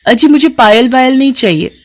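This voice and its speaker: A woman's voice: high-pitched, animated, normal speed, dry recording. A woman voicing an honest, disapproving young wife who admonishes her husband in a cartoon moral story. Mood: disapproving, warning.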